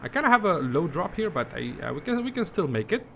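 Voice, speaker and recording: A man's voice: a little high, sing-song, quick pace, vocal fry, sharp tone, slight background noise. A man giving a casual, rambling game walkthrough, often repeating himself in a conversational way. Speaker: gaming video host.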